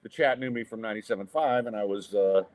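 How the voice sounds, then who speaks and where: A man's voice: fairly low pitch, fast, darkish tone. A man in his fifties hosting a podcast, joking in a self-deprecating, wry way. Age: 50s. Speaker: podcast host. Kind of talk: casual podcast banter.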